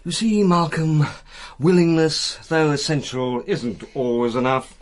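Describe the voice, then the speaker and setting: An actor playing a senior British army colonel in a radio drama, courteous and dry, formal and tactfully disapproving. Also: male voice, fairly high pitch, fairly even intonation, close to an everyday voice. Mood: tactful reproach. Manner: measured, formal, wryly euphemistic.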